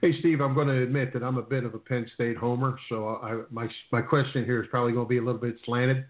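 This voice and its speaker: A man's voice: medium pitch, steady tone, fast. A man hosting a sports radio show in a conversational style. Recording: narrow phone-like sound.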